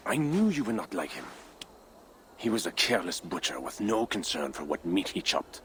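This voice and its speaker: Proud, scheming Frankish nobleman with lively intonation. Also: sits low, a little hiss or hum. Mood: grave, earnest. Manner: formal, measured, grandiose. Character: ambitious Frankish nobleman, proud, calculating rebel leader. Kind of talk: dramatic video game cutscene acting.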